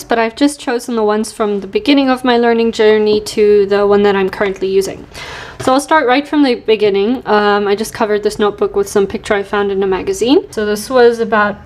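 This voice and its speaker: A woman's voice: mid-range voice, steady tone, a little fast, darkish tone. A woman in her twenties chatting casually and self-deprecatingly as she shows her study notebooks, laughing now and then. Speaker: language learner vlogger. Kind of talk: casual show-and-tell narration.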